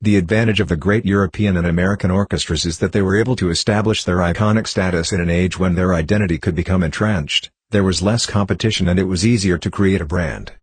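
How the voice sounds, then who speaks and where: A man reading short formal passages aloud in a measured, scripted way. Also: low voice, slightly rough, no echo. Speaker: model reader for English exam practice.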